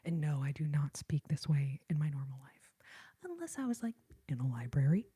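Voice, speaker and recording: A woman chatting casually to livestream viewers in a soft, breathy, whispery ASMR voice, deliberately gentle and restrained. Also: low-pitched, very animated. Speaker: live streamer and singer taking requests.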